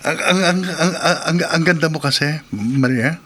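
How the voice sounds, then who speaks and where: A man's voice: on the high side, moderate pace, a bit bright, breathy edge. A man playing a meek, nervous, lovelorn husband in a horror radio drama, hesitant and prone to stammering. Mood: flustered, nervous. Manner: hesitant, stammering when flustered.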